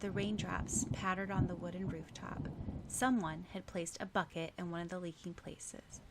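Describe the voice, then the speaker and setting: A woman's voice: fairly low pitch, fairly even intonation, moderate pace, background noise. A woman reading scripted fiction as an audiobook narrator.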